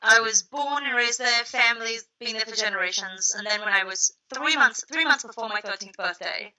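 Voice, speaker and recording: A young woman from South Africa with a strikingly bright, forceful voice pitched a little high. She talks as a podcast guest and tells stories in a lively way, laughing easily as she goes. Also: brisk, low-quality recording. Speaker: guest, media academic. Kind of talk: casual interview chat.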